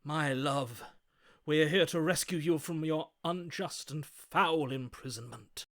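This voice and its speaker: An actor voicing a lovestruck, chivalrous romantic in a video game, with florid, ardent delivery. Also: male voice, a little high, slow pace, fairly bright tone. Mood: adoring, ardent. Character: lovestruck, theatrical romantic hero, grandiose and chivalrous.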